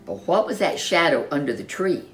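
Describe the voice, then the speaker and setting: A woman storyteller reading a children's storybook in an expressive, animated way, with dramatic emphasis. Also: low voice, moderate pace.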